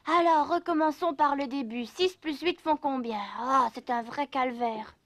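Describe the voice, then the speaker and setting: A woman's voice: high voice, unhurried, fairly bright tone, a little hiss or hum. A woman voicing a whiny, restless little wooden puppet boy in a French cartoon dub. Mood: sulky. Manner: whining, exclamatory, complaining. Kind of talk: animated cartoon dub acting.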